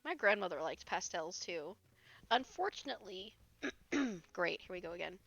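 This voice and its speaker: Young woman streamer, hoarse and gravelly, lively pitch, chatty with frequent laughs. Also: moderate pace, no echo, dull audio. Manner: chatty, reads chat aloud, laughs often. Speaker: live streamer. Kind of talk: casual chat while gaming.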